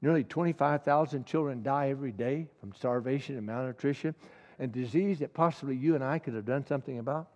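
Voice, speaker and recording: A man's voice: medium pitch, quick pace, darkish tone, long reverb tail. A middle-aged man preaching a sermon with passion, repeating key lines and building to emphatic peaks.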